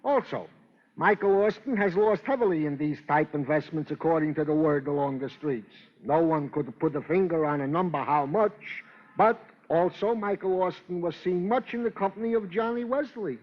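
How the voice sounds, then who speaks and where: A man's voice: on the high side, moderate pace, close to an everyday voice. A man playing a fussy, long-winded police sergeant in an old radio crime drama, with stilted, formal report phrasing. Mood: earnest, wry. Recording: dull vintage radio recording.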